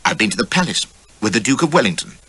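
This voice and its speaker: A man's voice: medium pitch, big pitch swings, fast, sharp tone, breathy edge, voice pushed up, exaggerated voice. A man voicing a swaggering, roguish Victorian English army officer, breezy and boastful. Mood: smug.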